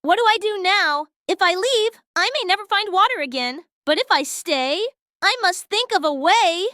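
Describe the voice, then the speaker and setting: A woman's voice: very high-pitched, animated, moderate pace, pushed and bright, dry recording, clean studio sound, full character voice. A woman voicing a thirsty, determined male crow in a children's storybook, earnest and exclamatory. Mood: worried, thoughtful.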